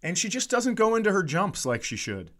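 A man's voice: high-pitched, very animated, fairly quick, a bit bright. A middle-aged American man telling casual comedic stories as a podcast host, with a profane, exasperated ranting manner.

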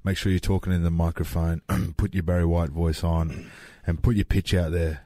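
A man's voice: sits low, level tone, moderate pace, mellow, no echo. A man co-hosting a podcast, playful and jokey in a casual chat. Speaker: podcast co-host.

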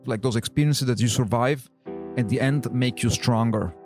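A man in his thirties from Austria who grew up in Italy, answering interview questions in relaxed, plain-spoken English with halting phrasing. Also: mid-range voice, soft background music. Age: 30s. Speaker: diver and business owner. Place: on a boat in a bay.

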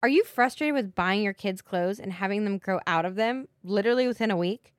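A woman's voice: mid-range voice, fairly quick, faint music behind. A woman co-hosting a podcast, chatting and reading an ad with upbeat enthusiasm. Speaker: podcast co-host. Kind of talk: casual podcast chat and host-read ad.

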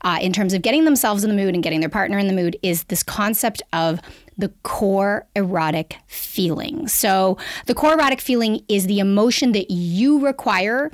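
A woman from Canada with a Canadian accent, a guest expert explaining at length in a lively, talkative way and laughing easily. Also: mid-range voice, moderate pace, no room sound, clean studio sound. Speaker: guest sexologist. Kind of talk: podcast interview, explaining.

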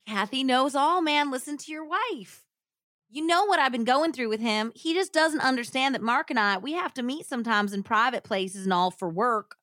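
A woman's voice: high-pitched, animated, normal speed, few pauses, pushed and bright, no room sound, clean studio sound, voice pushed up. A woman voicing a tiny, feisty, distraught mistress who cries out and pleads in a true-crime retelling. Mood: pleading, distraught. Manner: crying out, pleading, breathless denials.

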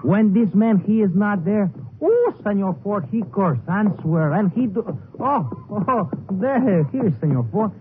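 High-voiced, flustered Mexican ranch hand. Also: male voice, expressive, unhurried. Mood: flustered, deferential. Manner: hesitant, repetitive, broken English. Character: eager, deferential Mexican ranch laborer, flustered and chatty. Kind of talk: 1950s western radio acting. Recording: tinny, noisy 1950s radio recording.

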